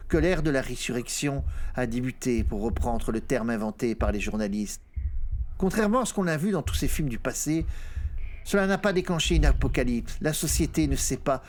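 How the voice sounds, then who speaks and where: A man's voice: mid-range voice, lively intonation, moderate pace, slight background noise. A man narrating an audiobook, telling a first-person horror story in a dramatic style.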